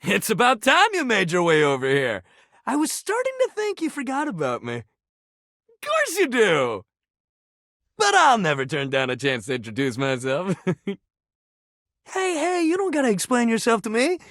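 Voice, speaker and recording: A man's voice: high voice, sharp tone, dry recording, studio quality, full character voice. An actor playing an upbeat, friendly jock in a video game, talking in casual bro slang like a hype man. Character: upbeat, friendly jock sports-equipment guy, hyping up a newcomer. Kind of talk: animated video game voice acting.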